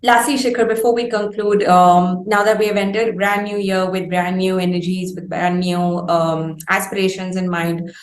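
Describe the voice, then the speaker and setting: A woman's voice: on the low side, echoey room. A woman with an Indian accent hosting a music interview, conversational, polite and encouraging. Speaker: music show host, interviewer.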